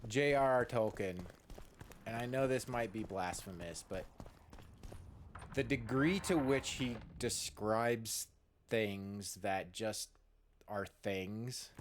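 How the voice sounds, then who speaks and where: A man chatting casually and ramblingly as a game streamer, informal and halting, leaning on key words. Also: on the low side, a little hiss or hum. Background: game music.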